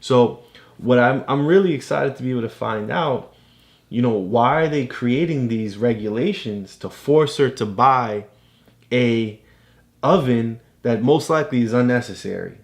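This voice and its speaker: A woman's voice: very deep voice, unhurried, darkish tone. A woman casually explaining things to a child as a tutor, encouraging and often checking understanding with questions. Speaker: parent tutor guiding a child's reading.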